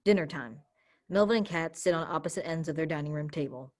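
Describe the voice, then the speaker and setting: A woman's voice: low-pitched, fast talker. A woman reading stage directions as scripted narration for a play.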